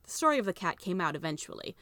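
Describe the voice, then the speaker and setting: Casting: female audiobook narrator with a fairly low voice, reading scripted fiction in a dry acoustic. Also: very animated, very fast, fairly bright tone, no echo.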